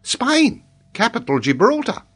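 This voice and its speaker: Storybook schoolboy: pitched way up, earnest, cocksure, reciting facts plainly. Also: male voice, huge pitch swings, measured pace, a bit bright, husky, full character voice. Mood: calm certainty. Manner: matter-of-fact, reciting in lists. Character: earnest, unshakably confident native schoolboy reciting his lessons.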